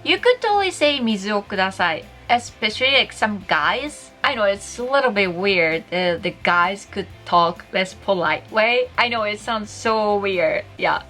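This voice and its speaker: A woman's voice: mid-range voice, expressive, unhurried, few pauses, sharp tone, soft background music. A young woman from Japan, speaking English with a Japanese accent, giving a bubbly, chatty video lesson and laughing often. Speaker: Japanese language teacher.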